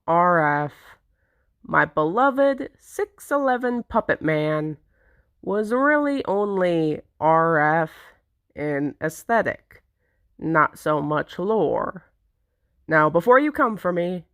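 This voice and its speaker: A woman narrating a script to viewers in a conversational, theatrical way with deliberate emphasis. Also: medium pitch, dry recording, studio quality. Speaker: narrator presenting own fan project.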